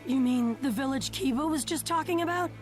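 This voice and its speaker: A woman voicing an earnest, headstrong teenage ninja boy in a video game dub, with some rasp in the voice. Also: high-pitched, a little fast, close to an everyday voice. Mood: determined. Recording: music under the dialogue.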